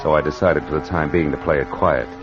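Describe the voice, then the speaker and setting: Casting: a wry American detective narrator, deep and punchy, muffled old-time radio drama sound. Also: male voice, fairly even intonation, fast. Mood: tense, dry. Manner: sardonic, punchy. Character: wry hard-boiled private detective narrator. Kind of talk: 1940s radio noir narration. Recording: muffled vintage radio, music behind.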